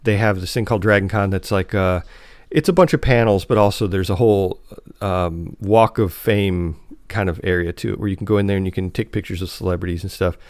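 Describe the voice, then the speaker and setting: A man's voice: sits low, a little fast, darkish tone. A man co-hosting a podcast, chatting informally and enthusiastically like a fan. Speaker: podcast co-host. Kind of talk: casual chat about TV shows.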